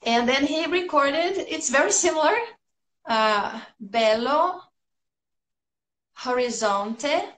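Brazilian-accented woman in her thirties, upbeat English teacher chatting to viewers; slightly high voice, echoey home room, phone up close. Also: expressive, slow, pauses often, a bit bright, a little hiss or hum. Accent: Brazilian Portuguese. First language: Portuguese. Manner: chatty and upbeat, laughing often. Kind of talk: casual livestream chat answering viewers' comments. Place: small room at home, indoors.

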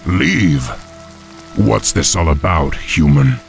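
An actor playing a proud young orc war chief in a fantasy game, gruff and commanding. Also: male voice, low voice, lively intonation, slow pace, soft background music, exaggerated voice. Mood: wary, puzzled. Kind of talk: dramatic fantasy game voice acting.

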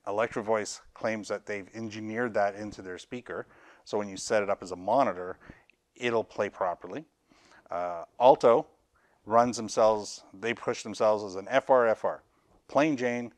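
A man's voice: lowish voice, no echo. A middle-aged man talking casually to camera as a gear seller and reviewer, explaining in a rambling way and qualifying his opinions. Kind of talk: casual product talk to camera. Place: indoors, small cluttered audio gear shop.

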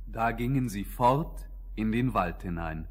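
A man's voice: fairly low pitch, expressive, a little slow, background noise. A man narrating a children's fairy tale in a scripted, storybook style.

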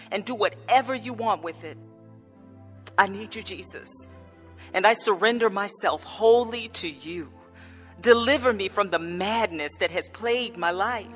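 A devout woman who has found faith and speaks from the heart. Her pitch moves expressively through earnest, grateful radio drama narration and dialogue, with clear music behind her. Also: a bit bright. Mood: pleading, prayerful. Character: devout, grateful born-again woman telling her life story.

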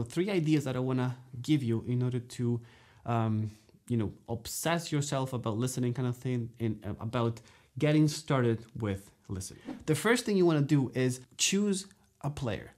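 A man in his thirties gives a saxophone lesson straight to camera, speaking at a middling pitch. He is animated and gestures as he talks. He keeps checking in with "okay?" and "you know" between points, in a studio room. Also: moderate pace. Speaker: saxophone teacher. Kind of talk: direct-to-camera music lesson. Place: dark studio room, indoors.

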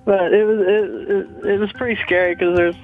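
A man in his twenties calling into a radio show, soft-spoken and laid-back. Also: high-pitched, fairly even intonation, normal speed, soft background music. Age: 20s. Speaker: caller. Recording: dull phone-in line.

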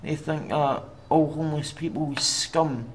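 A young man with a Glaswegian Scottish accent, giving personal testimony for a documentary in a halting, plain way with pauses and fillers. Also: on the high side, slight background noise. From Scotland. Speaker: documentary interviewee. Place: outdoors on a city street at night.

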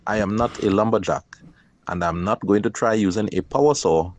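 A middle-aged man, calmly narrating his own kayak outing in a measured, explanatory voice-over. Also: lowish voice. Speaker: kayaker and channel host.

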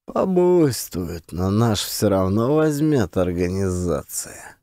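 A man voicing a beaten but smug old criminal gang boss in an audiobook, unhurried, slangy and self-assured. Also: sits low, very animated, dark tone, dry recording, clean studio sound, heavily acted. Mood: smug, dismissive. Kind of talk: audiobook character dialogue.

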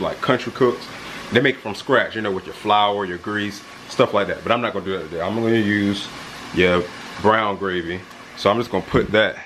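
A young man casually walking viewers through each step of his cooking in a home kitchen, filmed on a handheld camera. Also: lowish voice. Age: young adult. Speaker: cooking vlogger. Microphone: handheld camera, close and at arm's length. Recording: home kitchen with frying and stirring noise. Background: food sizzling in a pan.

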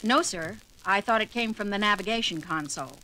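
A woman playing a crisp communications officer. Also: medium pitch, brisk, bright, forceful tone, natural voice, rough recording. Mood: dutiful. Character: composed female communications officer. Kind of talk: 1970s audio drama.

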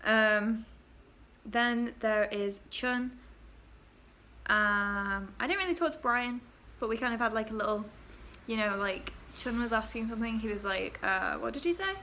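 A woman's voice: a little high, measured pace, bright, forceful tone, slight background noise. A young woman from England chatting casually to her webcam in a small bedroom, giggling and trailing off into fillers. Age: young adult. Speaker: vlogger. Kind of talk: casual chat to camera. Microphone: laptop webcam at desk.

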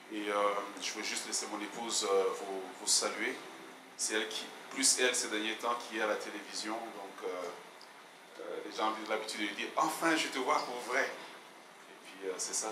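A man in his forties with a mixed Canadian and Belgian French accent, a guest pastor opening his sermon in a measured, hesitant way on a handheld mic. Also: fairly low pitch, steady tone. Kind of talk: sermon introduction. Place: large church hall, indoors. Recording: echoey church hall, noisy.

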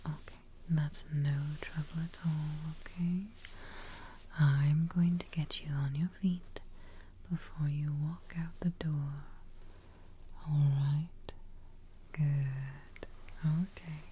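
A young woman whispers very slowly in a soft, breathy ASMR roleplay, soothing the listener like a caretaking witch. Her tone is deeply mellow and reassuring, recorded up close with faint noise behind it. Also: fairly even intonation. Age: young adult. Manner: gentle, soothing, reassuring, unhurried. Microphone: close microphone.

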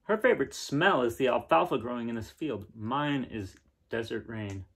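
Guy in his 30s reading a kids book, kinda gravelly and husky, takes his time. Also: medium pitch, lively intonation, moderate pace, a bit bright. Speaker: reader of children's picture books. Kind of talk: gentle read-aloud storytelling and casual chat. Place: small living room indoors, seen on camera.

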